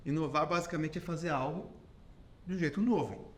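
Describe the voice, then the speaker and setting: A man's voice: fairly high pitch, fairly quick. A Brazilian man hosting a podcast interview who lays out his views and checks in with his guest as he goes. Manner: explanatory, ends points with "entendeu?". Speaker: podcast host.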